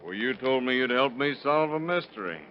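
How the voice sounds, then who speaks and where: A man's voice: mid-range voice, expressive, fairly quick, slight background noise, close to an everyday voice. An actor playing a shrewd male police detective with a dry, needling manner, in 1930s radio drama style. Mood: dry, goading. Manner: dry, needling, leading questions. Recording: dull lo-fi old radio recording.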